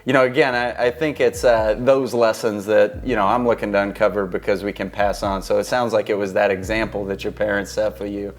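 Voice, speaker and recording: A man's voice: lowish voice, flat delivery, brisk, hardly any pauses. A man hosting an interview podcast, friendly and affirming, chiming in with short agreements. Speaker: podcast host.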